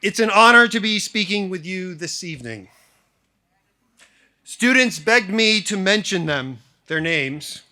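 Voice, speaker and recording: American male, 40s, formal commencement-style speaker, slightly high pitch, bright forceful tone, measured delivery with deliberate pauses. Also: lively intonation. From grew up in Japan, then suburban Detroit, US. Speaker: school faculty member giving a valedictory speech. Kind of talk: prepared formal speech to graduating class.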